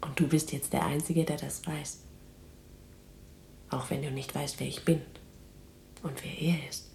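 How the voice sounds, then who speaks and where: An actress playing a weary but defiant, tender imprisoned resistance fighter in a German radio drama, speaking in a breathy, halting, intimate hush. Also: female voice, deep voice, steady tone, reverberant, a little hiss or hum. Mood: tender, wistful. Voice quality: breathy whispering. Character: exhausted, defiant, tender imprisoned resistance woman in wartime Netherlands.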